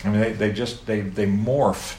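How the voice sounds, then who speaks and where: A man's voice: on the low side, normal speed. A middle-aged American man preaching a sermon to a live audience, telling stories with playful asides. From United States. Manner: animated storytelling, playful asides. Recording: echoey room, faint background noise.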